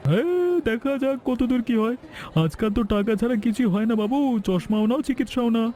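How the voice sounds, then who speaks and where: A man's voice: very high-pitched, animated, fairly quick, mellow. A man playing a sneering, mean-spirited village merchant in a cartoon fairy tale, mocking and taunting.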